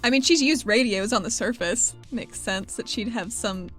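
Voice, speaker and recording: A woman's voice: a little high, moderate pace, a bit bright, faint music behind. A woman chatting and narrating her character's actions casually as a player in a tabletop game.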